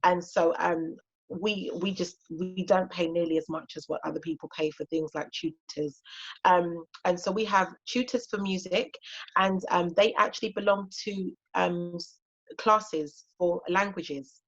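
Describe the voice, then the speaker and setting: Woman in her 30s from Ghana, Twi-native, chatty and encouraging guest speaker with a low-set voice on muffled online audio. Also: steady tone, measured pace. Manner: chatty and encouraging, frequent fillers. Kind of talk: casual talk on an online session.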